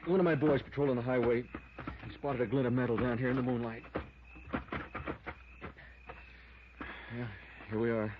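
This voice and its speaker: An American actor playing a matter-of-fact small-town deputy in a 1950s radio drama, casual and plain-spoken. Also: male voice, medium pitch, steady tone, fast, natural voice. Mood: grim. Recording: dull, noisy old radio recording.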